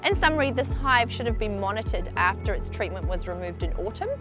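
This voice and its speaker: A young woman giving a clear, explanatory beekeeping demonstration outdoors beside hives on a lapel mic. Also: mid-range voice, expressive, a little fast, over music. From New Zealand. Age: young adult. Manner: clear explanatory, pointing things out. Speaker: beekeeping researcher. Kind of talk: instructional demonstration.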